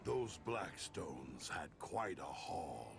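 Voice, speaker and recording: An actor playing a brash, hearty Viking jarl in a game cutscene, with a gravelly, blustering, theatrical delivery. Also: male voice, rumbling low, very animated, drawn-out words, over music, full character voice. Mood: brooding, impressed. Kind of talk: dramatic game cutscene acting.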